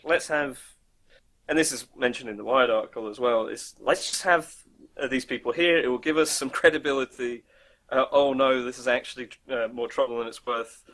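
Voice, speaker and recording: A man's voice: mid-range voice, fairly even intonation, moderate pace, fairly bright tone. A man co-hosting a casual comedic chat in a wry, mocking manner. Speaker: co-host of a weekly games show.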